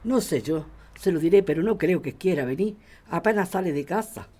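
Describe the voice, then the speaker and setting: A woman playing a worried, worn-down working grandmother in radio drama dialogue, with a husky, dark voice. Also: on the low side, expressive, fairly quick. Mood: anxious. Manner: weary, anxious.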